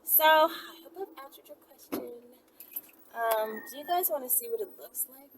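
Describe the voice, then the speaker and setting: High-voiced woman chatting over loud traffic noise. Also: lively intonation, normal speed. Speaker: host of a question and answer channel. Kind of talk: casual, candid chat to viewers. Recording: distant mic with loud traffic and vehicle noise. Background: traffic noise through an open window.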